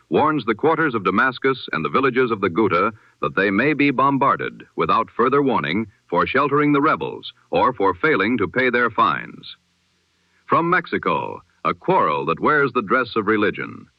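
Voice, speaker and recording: A man presenting a scripted news bulletin in 1920s radio style, with a formal, measured, solemn delivery. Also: mid-range voice, dry recording, lo-fi sound.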